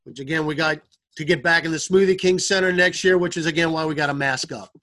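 Higher-voiced man hosting an interview podcast. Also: fast talker, sharp tone. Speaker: podcast host, sports broadcaster. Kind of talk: polished broadcast interviewing.

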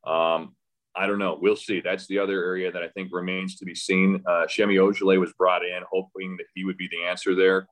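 A man's voice: low-pitched, level tone, fairly quick, fairly bright tone. A man, a sports announcer appearing as a guest, talks casually about the game, thinking aloud with frequent restarts. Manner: hesitant, conversational, thinking aloud with false starts. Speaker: radio play-by-play announcer as podcast guest. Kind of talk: casual sports interview talk.

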